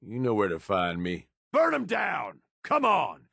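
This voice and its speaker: A man's voice: medium pitch, wildly swooping pitch, unhurried, gravelly, a bit bright, husky, no echo, studio quality, full character voice. An actor playing a cynical, foul-mouthed, hard-bitten raider henchman in a video game, talking in blunt tough-guy slang. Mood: matter-of-fact, then battle rage. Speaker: game companion character.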